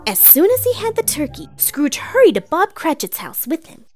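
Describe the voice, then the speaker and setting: A woman playing an excitable storyteller, narrating a storybook read-along with theatrical drama. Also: high-pitched, normal speed, soft background music. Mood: joyful, excited. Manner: theatrical, hushed then exclaiming.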